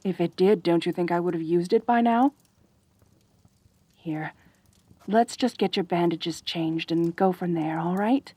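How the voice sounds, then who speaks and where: A woman performs a centaur girl for an ASMR roleplay drama, lonely and possessive but tender underneath. Her delivery is unhurried, hesitant and earnest, with stammered, pleading phrases. The sound is dry and intimate, with no room around the voice. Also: on the low side, mellow, husky, no room sound. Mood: gentle, caring. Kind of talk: roleplay ASMR audio drama, intimate one-sided dialogue.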